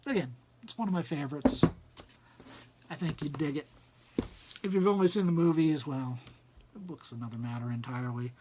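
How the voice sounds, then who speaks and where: Husky male storybook reader. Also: a little high. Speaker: reader and host. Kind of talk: storybook narration and informal commentary.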